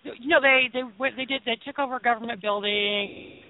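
A man who is a guest in a casual discussion, speaking in a deliberate way as he poses questions. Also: very high-pitched, steady tone, dry recording. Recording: dry, tinny phone-like line.

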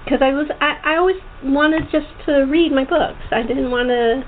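A woman with a fairly high voice hosts a relaxed, chatty interview. She rambles along with a giggly manner. The sound is thin and phone-like, with noticeable noise behind her. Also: normal speed. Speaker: talk show host. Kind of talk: casual interview chat. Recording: tinny phone line with clear background noise.

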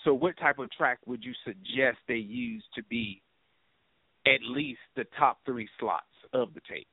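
Male, casual interviewer. Slightly gravelly edge, heard down a narrow phone line. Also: medium pitch, a little slow, no room sound. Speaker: show host. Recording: tinny phone-line radio show audio.

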